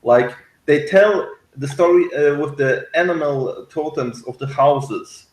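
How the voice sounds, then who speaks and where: A man's voice: on the high side, a little slow, echoey room, poor audio. A man in a casual review discussion, speaking hesitantly and often searching for his words. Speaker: guest reviewer on a fan show.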